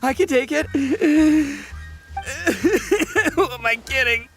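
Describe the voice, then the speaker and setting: A man's voice: very high voice, lively intonation, very slow, a bit bright, soft background music, full character voice. A man playing a brash, big-hearted young oni gang boss in a video game, blustering and theatrical. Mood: strained, flustered.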